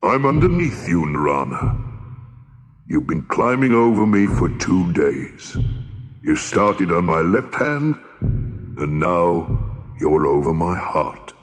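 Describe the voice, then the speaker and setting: Huge slow giant, cavernous reverb. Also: male voice, sing-song, heavily acted. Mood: calm, explaining. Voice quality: deep, rumbling, booming. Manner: slow, ponderous, genial. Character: huge, friendly, booming male giant of the mountains.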